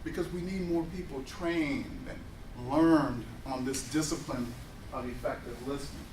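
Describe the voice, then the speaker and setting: A man's voice: mid-range voice, measured pace, a little hiss or hum. A man in his forties presenting a workshop to an audience, telling personal stories and acting out the dialogue in them. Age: 40s. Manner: animated storyteller who acts out dialogue. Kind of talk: workshop talk with personal anecdotes. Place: indoor workshop room with an audience. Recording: roomy room, voice picked up from a distance.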